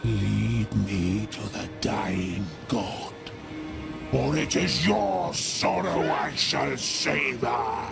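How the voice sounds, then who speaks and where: Fantasy game daemon: imperious, menacing tempter, very slow delivery, heavy growling rasp, loud score underneath. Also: male voice, mid-range voice, heavily acted. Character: gloating, hungry greater daemon, tempter and oracle. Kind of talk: dramatic fantasy game cutscene acting. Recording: voice under loud cinematic music.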